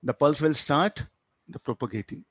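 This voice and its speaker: A man's voice: on the high side, normal speed, husky, dry recording. A man with an Indian English accent lecturing as a physics teacher, explaining step by step and often posing questions that he answers himself. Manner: didactic, asks and answers own questions, tags statements with "no?". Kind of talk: classroom physics lecture, working through a problem.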